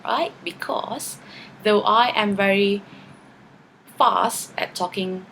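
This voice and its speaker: A woman's voice: mid-range voice, slow pace, vocal fry, fairly bright tone, slight background noise, low-quality recording. A tired woman, not a native English speaker, talking to camera in a chatty video response, often tagging her points with "okay" and "right?". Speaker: vlogger.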